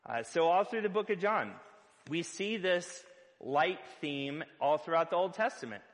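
A man preaching a sermon as pastor, loud-voiced and conversational, with filler words and playful asides. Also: fairly high pitch, animated, a little slow, long reverb tail.

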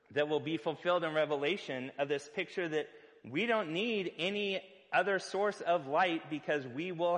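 A man preaching a sermon as pastor, loud-voiced and conversational, with filler words and playful asides. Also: on the high side, in a large hall.

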